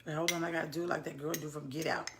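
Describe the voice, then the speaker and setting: Brief: hoarse woman co-hosting a live stream, gravelly, playful, faint hiss behind her. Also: sits low, steady tone, very fast. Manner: playful, greets viewers by name. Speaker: co-host of a church live stream. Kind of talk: casual live-stream chat.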